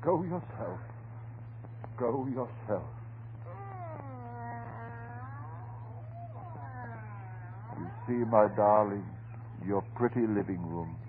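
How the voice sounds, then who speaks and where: Frantic, devoted husband coming unhinged, slow and halting, melodramatic horror radio style, tinny old noisy recording. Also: male voice, on the low side, animated. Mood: despairing. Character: frantic, devoted, unhinged husband guarding his transformed beloved. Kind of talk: vintage horror radio drama acting.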